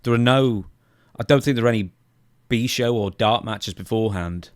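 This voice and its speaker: A man's voice: fairly low pitch, moderate pace, smooth, no echo, studio quality. A man hosting a podcast, putting questions and points to his co-host in casual chat.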